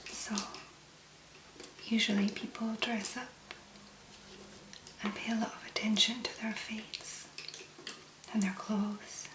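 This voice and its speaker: A woman speaking in a hushed, gentle whisper with long pauses, as an ASMR host. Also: medium pitch, level tone. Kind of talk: soft-spoken whispered ASMR. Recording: roomy echo, clear background noise.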